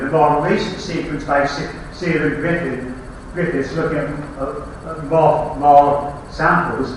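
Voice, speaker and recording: A man's voice: a little high, fairly bright tone, a little hiss or hum. A man with a Yorkshire accent giving a rambling lecture on his slides, with wry asides and frequent restarts, recorded from a distance in a lecture hall. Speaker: archaeology lecturer. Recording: very echoey hall, speaker off-mic.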